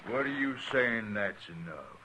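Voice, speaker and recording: A man's voice: lowish voice, very animated, unhurried, noisy background. A man playing a huge, boastful red-haired brawler in a 1950s radio drama, with a taunting frontier drawl. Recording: old radio broadcast.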